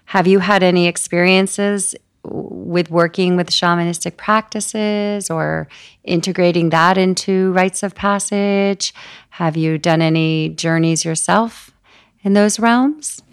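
A woman hosting a conversational interview, speaking slowly in a very low voice. Her tone is smooth but edged with gravelly fry. She is soft and affirming toward her guest. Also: steady tone. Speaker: podcast host.

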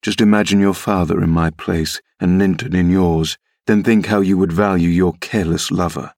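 Menacing middle-aged gentleman for an audiobook, read by a man: commanding, sly, lowish pitch. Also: fairly even intonation, normal speed, dry recording, studio quality. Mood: wheedling. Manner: mocking, commanding, insinuating. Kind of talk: audiobook character reading.

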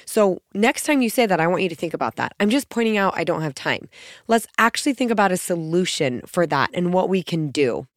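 A woman's voice: fairly low pitch, big pitch swings, brisk, dry recording, studio quality. Stuffy-nosed, scratchy-voiced woman podcaster. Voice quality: scratchy, gruff. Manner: earnest, encouraging. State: stuffy nose from a cold. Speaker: podcast host. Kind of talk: motivational podcast talk.

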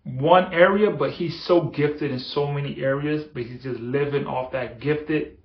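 Male motivational podcast host, fairly high voice, earnest and repetitive, dull echoey room. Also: measured pace. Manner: earnest, repetitive, building emphasis. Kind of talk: motivational monologue.

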